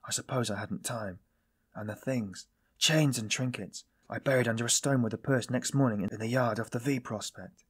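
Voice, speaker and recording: A man playing a weary, self-loathing man in audiobook dialogue, confessing in a brooding, bitter, halting manner. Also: mid-range voice, slightly rough, close to an everyday voice. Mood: musing, subdued.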